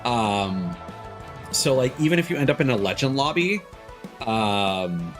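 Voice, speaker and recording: A man's voice: mid-range voice, very animated, a little slow, fairly bright tone, soft background music. A man chatting casually as he commentates a video game stream, rambling and often stopping to restart his sentences. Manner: rambling, self-interrupting, frequent stops and restarts. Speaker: live streamer.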